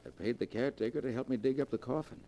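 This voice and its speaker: An actor playing a haunted, fearful husband with anxious melodrama, in the manner of 1940s radio acting. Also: male voice, medium pitch, racing, dark tone, slight background noise, close to an everyday voice. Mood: shaken, confiding. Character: haunted, panicky husband, guilt-ridden and fearful.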